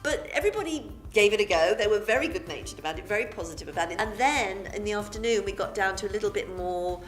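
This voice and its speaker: A woman's voice: medium pitch, animated, fast, soft background music. A middle-aged woman talking conversationally as a course leader recounting her work.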